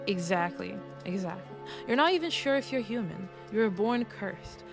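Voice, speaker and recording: A woman streamer with a congested, throaty voice chatting playfully and reading game text aloud. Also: mid-range voice. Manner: playful and self-mocking, with frequent restarts. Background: game music.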